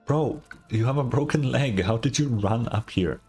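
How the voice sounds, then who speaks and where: A woman's voice: rumbling low, moderate pace, music in the background. A woman streamer giving casual reaction commentary, slangy, sweary and wry.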